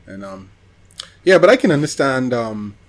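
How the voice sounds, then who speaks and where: A man co-hosting a podcast in casual chat, rambling and pausing as he recalls details. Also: mid-range voice, sing-song. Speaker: podcast co-host. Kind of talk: casual chat about anime.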